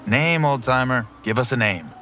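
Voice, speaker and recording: A man voicing a cool, distrustful teenage boy in a cartoon parody, terse, deadpan and sardonic. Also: medium pitch, normal speed, soft background music. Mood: curt. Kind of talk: comedic animated parody voice acting.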